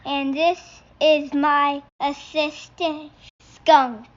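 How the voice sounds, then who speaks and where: A woman voicing a patient but exasperated rabbit instructor from a picture book, speaking in deliberate, halting steps. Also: high voice, level tone, lo-fi sound. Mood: instructive.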